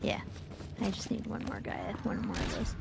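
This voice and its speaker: A woman's voice: mid-range voice, wildly swooping pitch, moderate pace, creaky, noisy background. A woman co-hosting a gaming show, chatting casually while she plays.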